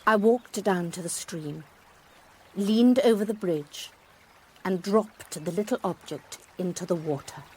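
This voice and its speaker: An actress playing a sharp-eyed, wry former schoolmistress, telling a mystery in a precise, measured way for radio drama. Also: female voice, mid-range voice, a little hiss or hum, natural voice. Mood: wry, reflective. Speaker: narrator and lead character. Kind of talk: first-person dramatised narration, radio drama.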